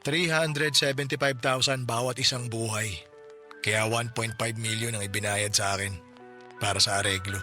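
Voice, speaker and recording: A man's voice: mid-range voice, steady tone, bright, forceful tone, faint music behind, close to an everyday voice. A Filipino man voicing a poor widower who has just lost his family, weary and bitter in a radio drama. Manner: weary, bitter, speaks slowly when stressing words. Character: grieving poor widower, tricycle driver, bitter about justice. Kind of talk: Tagalog radio drama acting.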